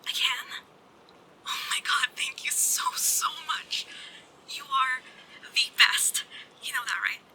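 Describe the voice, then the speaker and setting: A young woman playing an affectionate, troubled college-age best friend in an intimate audio roleplay, speaking softly and hesitantly with sighs. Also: sits high, in a whisper, exaggerated voice, poor audio. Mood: hushed, hesitant. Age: young adult. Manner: soft, hesitant, slow with sighs. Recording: steady background noise of rain. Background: rain sounds.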